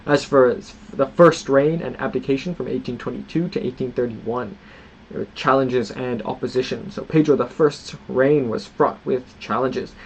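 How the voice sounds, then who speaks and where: A man's voice: mid-range voice, moderate pace, lo-fi sound, a little hiss or hum. A man hosting a history lesson, reading prepared points aloud and breaking off into casual asides with frequent restarts. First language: not Portuguese. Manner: reads scripted points, then adds loose unscripted asides with restarts. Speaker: history channel host. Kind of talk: reading and commenting on history notes.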